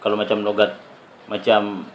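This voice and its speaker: A man's voice: lowish voice, completely flat delivery, normal speed, slight background noise. A man from Sabah speaking with a Sabah Malay accent, giving a rambling, casual talk to his channel viewers. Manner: chatty, rambling, with many fillers. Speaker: YouTuber.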